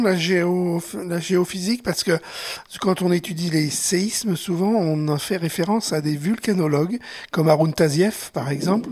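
A man hosting a radio talk show, speaking in a relaxed, chatty way with fillers while he has a cold. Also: sits high. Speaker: radio host.

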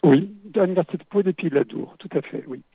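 A man's voice: fairly high pitch, quick pace, no echo. A man from the French Alps, a guest scientist, explaining carefully with many restarts, heard over a muffled phone line in a radio interview. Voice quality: stuffy, husky.

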